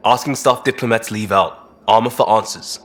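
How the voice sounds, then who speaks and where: A man's voice: fairly low pitch, moderate pace, close to an everyday voice. A man playing a cheeky, informal human crewman in a video game, teasing and breezy. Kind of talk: video game dialogue acting.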